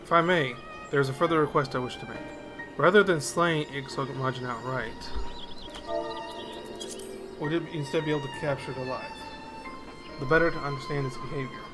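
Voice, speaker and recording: Guarded, scholarly fantasy game noblewoman, played by a man, formal and deferential, clear music underneath. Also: medium pitch, brisk, pauses often, lightly acted. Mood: politely insistent. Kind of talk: game dialogue read aloud.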